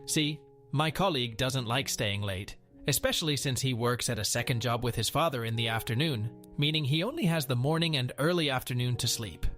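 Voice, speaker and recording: A man reads a first-person story in a steady, even way, his voice sitting at a middle pitch. Faint music plays quietly underneath the narration. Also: brisk. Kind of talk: scripted narration of a reddit story.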